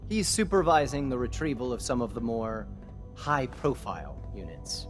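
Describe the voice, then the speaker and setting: Game bureau chief, played by a man: officious, curt, exact, mid-pitched, soft music underneath. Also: very animated, normal speed, close to an everyday voice. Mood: businesslike. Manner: formal, clipped, precise exposition. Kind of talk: scripted game dialogue.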